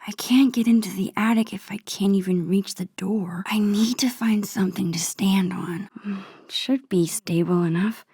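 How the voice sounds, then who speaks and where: Breathy, hushed young girl adventurer. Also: mid-range voice, normal speed, no echo, studio quality. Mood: focused, thinking aloud. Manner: musing to herself, understated, dryly playful. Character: soft-spoken, wistful, nerdy young girl adventurer. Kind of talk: video game character monologue.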